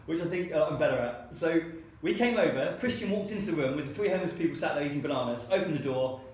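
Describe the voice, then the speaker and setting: Fast-talking man in echoey room. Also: on the high side, lively intonation, darkish tone. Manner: chatty, acts out quoted dialogue. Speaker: co-working space founder. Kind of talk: anecdotal storytelling interview. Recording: very echoey room with faint hiss.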